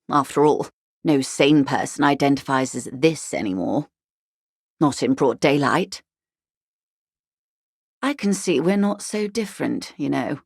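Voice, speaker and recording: Posh older lady from a video game, low voice, dry studio sound, wry n measured, politely condescending. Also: creaky, slightly rough, no room sound, full character voice. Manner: measured, articulate, condescendingly polite, dryly ironic. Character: poised, wry upper-class older woman, unrepentant ultra-liberal negotiator. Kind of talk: video game character dialogue.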